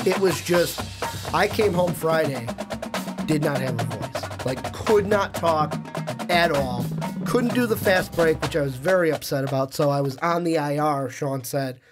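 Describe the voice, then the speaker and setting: A young man hosting a sports podcast, talkative and upbeat, sounding nasal and stuffy from a cold, speaking into a studio microphone. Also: fairly high pitch. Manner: upbeat, talkative, reads plugs. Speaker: podcast host.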